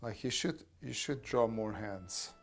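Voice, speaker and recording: Guy with a bit of fry in his voice hanging out on stream, walking chat through drawing hands, some quiet music under it. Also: on the low side, unhurried. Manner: chatty, talks viewers through drawing steps. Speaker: artist streamer answering chat. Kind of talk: casual livestream chat and drawing demo.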